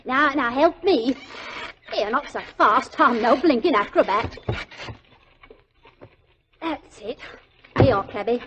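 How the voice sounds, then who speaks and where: High-pitched, bossy English mother. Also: animated, slight background noise, rough recording. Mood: bossy, impatient. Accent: working-class English. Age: middle-aged. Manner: brisk, chivvying. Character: bossy, brisk working-class mother, middle-aged, no-nonsense. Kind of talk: 1940s radio drama acting.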